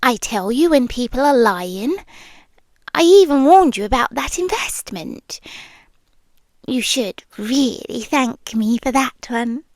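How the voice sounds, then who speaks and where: A woman's voice: high voice, animated, no room sound, exaggerated voice. A British woman playing a lonely, obsessive, affectionate stalker girl in an intimate ASMR roleplay, speaking tenderly and breathily, slipping into whispers. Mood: playful, fond. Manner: slow, breathy, tender, with pauses and sighs. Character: lonely obsessive bodiless young female stalker, possessively affectionate. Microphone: close microphone.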